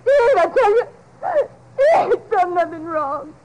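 Frightened country wife, very high pitch, pleading, evasive, rustic speech, 1950s radio drama. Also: female voice, expressive, a little slow, very noisy. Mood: distraught. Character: frightened, evasive ranch-country wife protecting her husband. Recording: old 1950s radio broadcast.

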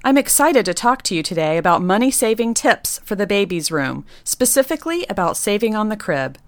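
A woman's voice: mid-range voice, big pitch swings, a little fast, no room sound. Woman reading instructive tips. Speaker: nursery designer giving tips. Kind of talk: scripted segment read.

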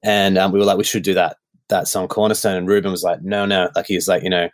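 A man's voice: low-pitched, little pitch movement, fast, no echo, studio quality. An Australian man guesting on a podcast, telling stories in a loose, rambling way full of ums and likes. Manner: loose storytelling with many fillers. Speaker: worship songwriter guest. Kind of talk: casual podcast chat.

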